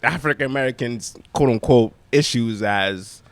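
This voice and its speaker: A man raised in Boston with family from Nigeria, hosting a podcast in laid-back casual chat, laughing often and jumping higher when animated. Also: moderate pace, no room sound. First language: Nigerian accent at home. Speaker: podcast host. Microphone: studio podcast mic with headphones.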